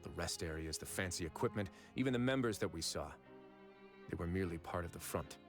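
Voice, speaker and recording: An actor playing a composed male fortress warden in a video game, speaking in a calm, measured, authoritative way. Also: low-pitched. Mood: deliberate. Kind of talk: game cutscene voice acting. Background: faint game music.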